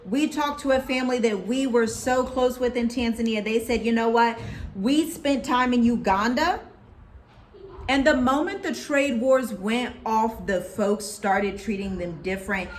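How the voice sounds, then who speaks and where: Animated, preachy American woman co-host. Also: a little high, measured pace, a little hiss or hum. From United States. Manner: animated, preachy, quotes people in put-on voices. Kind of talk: casual chat with scripture references.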